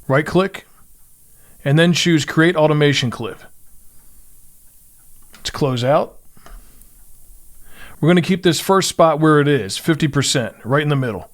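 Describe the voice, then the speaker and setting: A man's voice: on the high side, expressive, a little slow, long pauses. A man hosting a music production tutorial, narrating step-by-step instructions in short, simple phrases.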